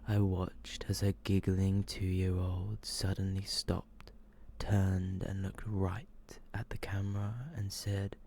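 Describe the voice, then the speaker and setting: A man speaks in a hushed, low-pitched ASMR murmur that often drops to a whisper. His delivery is slow and almost completely flat, as he reads close to the mic. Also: creaky, slight background noise. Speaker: ASMR video host reading short horror stories.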